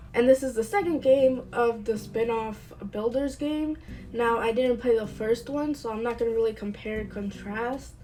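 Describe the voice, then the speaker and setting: A woman's voice: on the high side, a little mellow, low-quality recording. A woman hosting a casual video game review, chatty and playful, sounding congested and a bit nasal from a cold. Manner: chatty and playful, with light asides. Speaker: host of a gaming channel.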